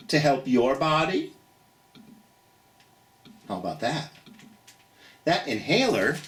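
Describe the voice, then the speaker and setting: A storytime host reads to children in a mid-pitched voice that rises and falls dramatically. The delivery is slow and encouraging, as if speaking straight to young listeners. The room adds a noticeable echo. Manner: expressive, encouraging, asks questions of young listeners. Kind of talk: animated read-aloud storytime for children. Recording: roomy, echoey sound.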